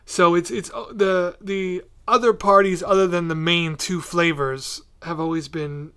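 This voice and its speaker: A man hosting a podcast, chatting casually in a tentative, agreeable, halting way. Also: high voice, fairly even intonation, pushed and bright, clear, resonant tone. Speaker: podcast host. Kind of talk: casual interview chat.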